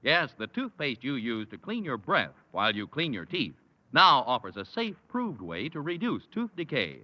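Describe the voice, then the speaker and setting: A man's voice: medium pitch, wildly swooping pitch, moderate pace, bright, forceful tone, no echo. A man announcing a 1950s radio commercial in a persuasive, emphatic way. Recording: dry, dull old radio sound.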